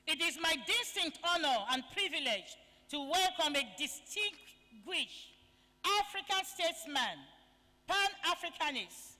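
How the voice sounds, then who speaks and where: A woman with a high, bright voice hosts a formal conference as master of ceremonies. She reads distinguished guests' credentials in a ceremonious tone. Her handheld mic carries into a large, echoing conference hall. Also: animated, a little slow. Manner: ceremonious, reads guest bios aloud with honorifics. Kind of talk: formal event introductions.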